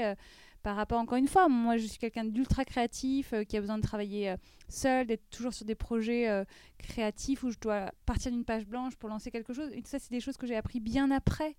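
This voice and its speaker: A woman's voice: on the high side, fast, darkish tone, clean tone, no room sound. A woman in her thirties telling a personal story as an interview guest, hesitant with frequent restarts and fillers.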